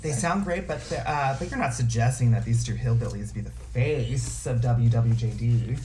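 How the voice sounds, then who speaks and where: A man's voice: medium pitch, moderate pace, mellow, a little hiss or hum, close to an everyday voice. A man playing a fussy, sardonic radio station co-worker in a musical comedy table read. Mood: sardonic, objecting. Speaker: actor in a script table read.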